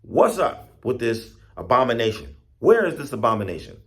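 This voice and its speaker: Guy playing a villager in a folktale, bossy but calming, talks real casual. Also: mid-range voice, moderate pace, voice pushed up. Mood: questioning. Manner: commanding, colloquial. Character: bossy, calming villagers questioning frightened animals.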